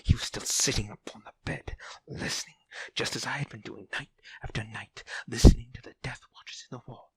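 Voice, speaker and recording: An actor playing an obsessed, unhinged murderer in an audio drama horror monologue, theatrical, moving between breathy hush and sudden outbursts. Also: male voice, medium pitch, fairly quick, no echo, in a whisper, rough recording. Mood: tense, brooding. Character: obsessed, unhinged murderer confessing his careful crime.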